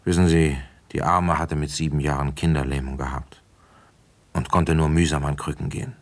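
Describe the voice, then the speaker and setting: An actor playing a middle-aged village police inspector in a German radio play, measured and matter-of-fact. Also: male voice, extremely low pitch, steady tone. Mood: sober, reflective. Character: seasoned male village police inspector telling his case.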